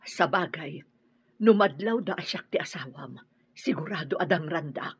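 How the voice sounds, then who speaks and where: A woman's voice: low voice, animated, moderate pace, husky, heavily acted. A woman playing an anxious wife on the run in an Ilocano radio drama, worried and questioning, with an Ilocano accent. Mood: agreeing, wary.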